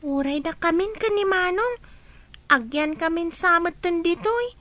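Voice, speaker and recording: Woman doing a polite little kid, radio drama, super high squeaky voice. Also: lively intonation, a little slow, bright, forceful tone, heavily acted. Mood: curious. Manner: polite, asks many questions.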